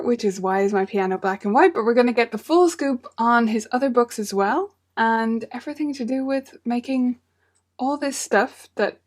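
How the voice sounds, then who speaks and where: A woman with a slightly high voice hosts a show about teaching music. She is chatty and enthusiastic as she asks her guest questions over a video call. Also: a little mellow. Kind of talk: upbeat interview hosting.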